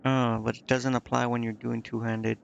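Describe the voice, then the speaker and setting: A man's voice: medium pitch, little pitch movement, normal speed, vocal fry, muffled recording, a little hiss or hum. A man streaming a video game, thinking aloud in casual commentary and muttering indecisively to himself.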